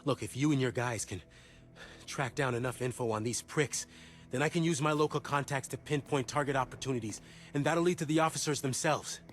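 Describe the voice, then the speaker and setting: An actor playing an earnest, informal CIA contact in a video game cutscene. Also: male voice, mid-range voice, fairly quick, natural voice. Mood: eager, determined. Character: grateful, earnest rescued male CIA intelligence contact.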